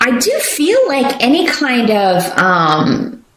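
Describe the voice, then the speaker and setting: A woman's voice: a little high, sing-song, takes it slowly, fairly bright tone, roomy sound, low-quality recording. A woman giving a casual tarot reading, rambling with many fillers and restarts. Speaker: tarot reader.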